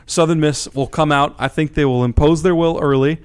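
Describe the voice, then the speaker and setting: A man's voice: a little high, fairly even intonation, a little fast, clean tone. A man co-hosting a sports radio show, talking casually through game picks.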